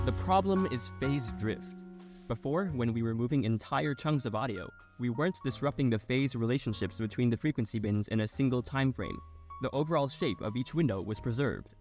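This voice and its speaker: A man's voice: mid-range voice, expressive, moderate pace, darkish tone. Man narrating a tutorial over music. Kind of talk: scripted explainer narration.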